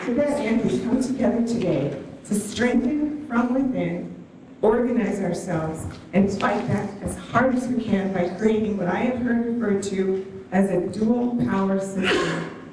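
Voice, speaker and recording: Soft-spoken woman in an echoey hall. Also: medium pitch, a little slow, a crowd in the background, noisy background. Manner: earnest, soft-spoken, rousing. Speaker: town hall speaker. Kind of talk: prepared speech at a podium. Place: large hall on stage. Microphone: distant stand mic, room pickup. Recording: echoey hall heard from far off.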